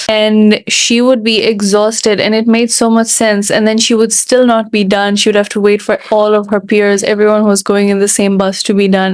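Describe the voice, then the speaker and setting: A young woman co-hosting an interview, thoughtful and explanatory as she shares a friend's story. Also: medium pitch. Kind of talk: conversational interview.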